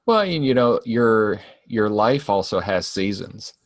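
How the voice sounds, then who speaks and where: A man's voice: fairly low pitch, lively intonation, a little slow, no echo, studio quality. A man in his forties co-hosting a podcast, chatting casually, rambling with many restarts and laughing often. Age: 40s. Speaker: podcast co-host. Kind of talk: casual chat.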